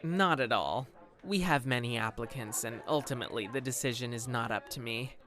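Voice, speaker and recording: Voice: medium pitch, moderate pace, fairly bright tone, close to an everyday voice. An actor playing a haughty, condescending job interviewer with a prejudice against hybrids, in an audio drama. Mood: polite, dismissive. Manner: condescending, dismissive, swooping intonation.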